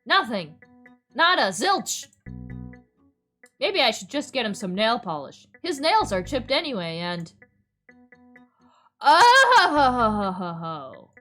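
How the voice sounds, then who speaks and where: A woman's voice: a little high, huge pitch swings, slow pace, long pauses, sharp tone. A playful, theatrical woman streaming a visual novel reading with chatty, exclamatory commentary. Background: music.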